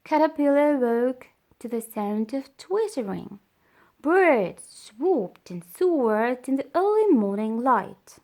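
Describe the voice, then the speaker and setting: A woman reading a children's story aloud as storyteller, with deliberate, dramatised phrasing. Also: sits high, big pitch swings, darkish tone.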